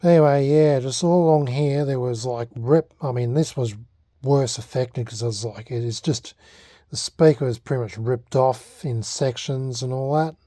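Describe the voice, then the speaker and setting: A man's voice: medium pitch, moderate pace, mellow, no room sound, clean studio sound. A man with an Australian accent talking casually and unscripted in a hobby restoration vlog, hesitant, laid-back and self-deprecating. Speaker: vlogger.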